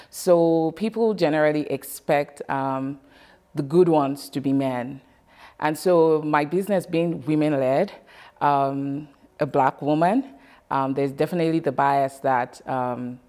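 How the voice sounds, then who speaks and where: A woman's voice: deep voice, warm tone. A woman who runs a photography business, answering interview questions and stopping to search for words.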